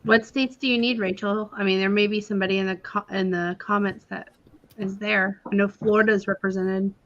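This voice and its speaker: A woman's voice: medium pitch, level tone, a little fast, fairly bright tone, muffled recording. A woman from Texas chatting casually as a panelist on a livestream. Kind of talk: casual chat.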